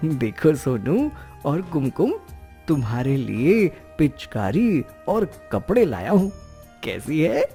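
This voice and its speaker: A man's voice: on the high side, big pitch swings, slow pace, mellow, faint music behind, heavily acted. A man voicing a poor, humble and polite working father in a cartoon moral story. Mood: gentle.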